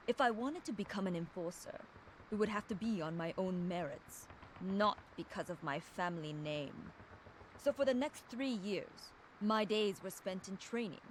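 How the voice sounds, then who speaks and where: A woman's voice: medium pitch, lively intonation, normal speed, lightly acted. Woman acting solemn, fierce young female enforcer, noisy backdrop. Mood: grave, reflective. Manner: solemn, controlled, resolute. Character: young woman, fierce, proud, loyal crime-syndicate enforcer and fighter. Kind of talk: dramatic first-person scripted monologue from a video game audio log.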